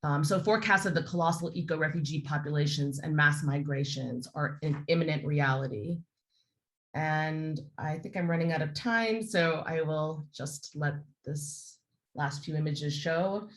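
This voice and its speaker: A woman's voice: low-pitched, moderate pace, fairly bright tone. Earnest woman artist presenting online. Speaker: panel speaker, artist. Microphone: video call.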